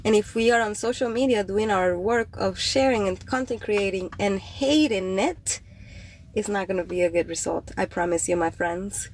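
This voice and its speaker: A woman's voice: medium pitch, a little hiss or hum, rough recording. Cuban woman chatting on a livestream. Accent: Cuban Spanish. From Cuba. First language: Spanish. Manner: chatty and meandering, with fillers and drawn-out words. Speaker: podcast host and poet. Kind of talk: casual livestream chat greeting viewers.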